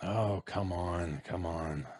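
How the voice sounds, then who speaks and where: A man's voice: deep voice, slow, a little mellow, dry recording, clean studio sound. An actor playing a brusque, impatient tough city policeman in old-time radio suspense style, blunt, slangy and bossy.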